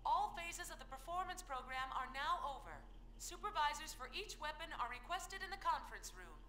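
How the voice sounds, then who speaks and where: A woman's voice: high-pitched, expressive, fairly quick. An actress playing a formal, impersonal female announcer reading scripted public notices in a video game.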